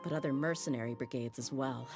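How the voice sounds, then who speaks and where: A blunt, tough mercenary leader with a notably low, grounded voice. She talks frankly and guardedly, slipping into casual slang, with faint game music underneath. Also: fast. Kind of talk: game cutscene dub.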